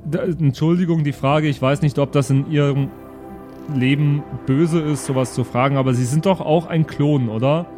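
A man voicing a naive, blunt, childlike oddball with a deadpan, literal-minded way of talking in an improvised audio play. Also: a little high, moderate pace, over music, natural voice. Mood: naively curious.

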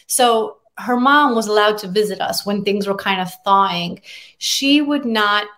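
A woman's voice: medium pitch, a little slow, fairly bright tone. A woman from Russia, a columnist, answering interview questions in an earnest, conversational way. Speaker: guest columnist.

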